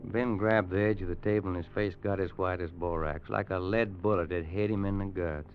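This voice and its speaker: Actor as a low-voiced, grave old-West storyteller. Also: male voice, fairly even intonation, brisk, close to an everyday voice. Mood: grave, haunted. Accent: American. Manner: folksy, grave dramatic storytelling. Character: Dan, weary, worried Western man narrating a grim tale. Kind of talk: 1950s radio drama acting. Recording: muffled old radio recording with music.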